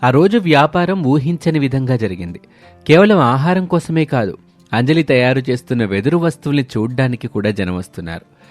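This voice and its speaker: A man's voice: mid-range voice, animated, fairly quick. A man narrating a scripted moral story as a storyteller. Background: music.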